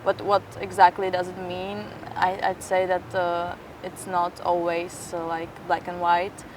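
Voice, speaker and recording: A young woman speaks casually and hesitantly in an interview. Her voice is fairly low and very even, picked up a little away from the mic. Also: a little slow. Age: young adult. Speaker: youth theatre project participant. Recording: distant mic, faint traffic-like noise.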